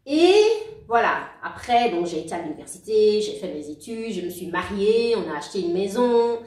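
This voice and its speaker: A woman in her forties speaking as a guest in a casual interview, warm and halting, often restarting and laughing softly. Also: medium pitch, animated. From outside Belgium. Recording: roomy, distant mic.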